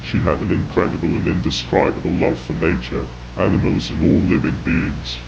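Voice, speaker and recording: Male narrator for scripted horror, deep and heavily fried whispery rasp, grave delivery, muffled noisy audio. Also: flat delivery, moderate pace, a little mellow. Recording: dull, noisy lo-fi audio with rumble.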